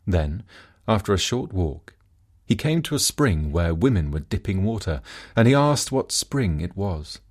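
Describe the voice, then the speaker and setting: A man's voice: sits low, big pitch swings, measured pace, a little mellow. A man narrating a fable as an audiobook reader, in a scripted storytelling style.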